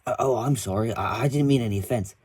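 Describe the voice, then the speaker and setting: A man voicing a kind, polite male steam engine who asks gentle, curious questions. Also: mid-range voice, fast, slightly rough, close to an everyday voice.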